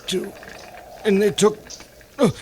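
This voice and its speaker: A man playing a dazed, badly wounded massacre survivor, weak and halting, in an old radio western. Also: high-pitched, little pitch movement, mellow. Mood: agonized, dazed. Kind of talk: old-time radio western drama acting.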